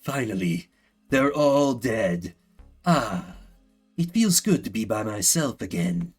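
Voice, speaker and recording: A man's voice: on the low side, husky, voice pushed down, heavily acted. A man voicing an aloof, irritable villainous creature from a video game, dry, curt and dismissive. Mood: smug, weary.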